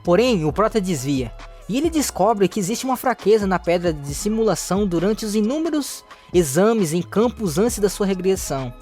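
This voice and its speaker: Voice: mid-range voice, animated, normal speed, darkish tone. Brazilian recap narrator with music behind. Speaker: narrator of a manhwa recap channel. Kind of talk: scripted story recap narration.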